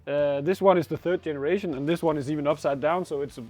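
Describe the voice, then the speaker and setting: Danish man chatting in halting English. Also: on the high side, steady tone, dark tone, no echo, faint music behind. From Denmark. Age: 30s. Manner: halting, with fillers and laughs. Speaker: motorcycle shop co-owner. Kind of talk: casual chat. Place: motorcycle workshop showroom.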